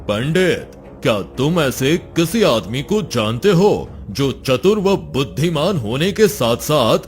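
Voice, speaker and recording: A man's voice: medium pitch, very animated, slow pace, soft background music. A man voicing an imperious god of death holding court in a cartoon moral story, with a stately, theatrical delivery. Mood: questioning.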